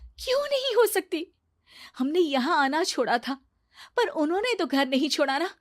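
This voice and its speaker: A woman's voice: very high voice, big pitch swings, normal speed, voice pushed up. A woman voicing an earnest, insistent young woman who trusts a friendly ghost in a cartoon story. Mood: hushed conviction. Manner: emphatic, insistent. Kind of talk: animated cartoon story acting.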